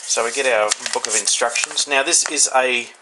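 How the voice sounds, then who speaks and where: A man with an Australian accent giving a casual, unscripted hands-on product review, haltingly thinking aloud. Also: medium pitch. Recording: faint background noise.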